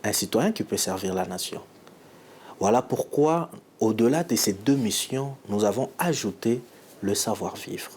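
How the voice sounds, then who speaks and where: A man from the Democratic Republic of the Congo, a provincial education official, speaking emphatically in measured phrases with rhetorical questions in a field interview. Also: fairly low pitch, animated, darkish tone, slight background noise.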